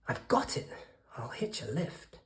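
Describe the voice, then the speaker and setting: A woman's voice: a bass voice, very animated, unhurried, in a whisper, full character voice. A woman voicing a tiny, young female sea snail, wistful and eager, in a storybook. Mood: excited.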